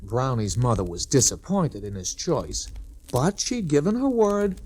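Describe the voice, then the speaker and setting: A man's voice: mid-range voice, sing-song, unhurried, noisy background. A man narrating a scripted children's story in 1960s storybook-record style.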